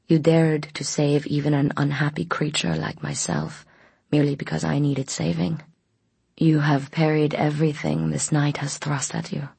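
Brooding vampire man, acted by a woman narrator: courtly, eerie, ornate wording, low husky delivery. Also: level tone, normal speed, darkish tone, no room sound, full character voice. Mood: earnest, pleading. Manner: oddly formal, ornate speech. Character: male vampire, formal, brooding, old-fashioned and eerie.

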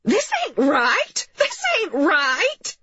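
Shrill, frantic old mother. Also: huge pitch swings, dry recording, highs cut off, full character voice. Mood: outraged. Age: older. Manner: shouting, pleading, drawn-out cries. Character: shrill frantic protective older mother, wailing and threatening.

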